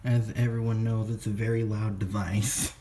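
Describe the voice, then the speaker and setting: Guy with a lowish voice doing a plain how-to, kinda halting, noisy recording with clicks. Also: flat delivery. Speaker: presenter of a how-to video. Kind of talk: casual step-by-step product demonstration. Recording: clear background noise with clicks.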